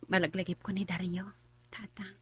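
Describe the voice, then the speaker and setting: A woman's voice: fairly low pitch, little pitch movement, normal speed, a bit bright, slightly rough, no echo. A woman acting a respectful, heartbroken young student in a radio drama. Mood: sad, resigned. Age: young adult.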